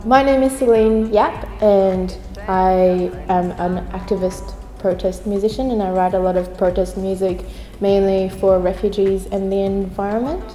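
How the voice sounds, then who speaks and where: A woman who makes protest music, introducing herself briskly in an interview. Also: medium pitch, fairly even intonation, warm tone. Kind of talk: interview testimonial. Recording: reverberant hall with faint music.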